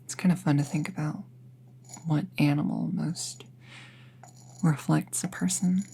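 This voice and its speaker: A woman playing a friendly, chatty young apprentice witch in an intimate roleplay audio, musing casually in a soft, husky, breathy voice. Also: low voice, fairly even intonation, a little slow, slight background noise. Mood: playful, musing. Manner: casual, musing, softly breathy, often near a whisper.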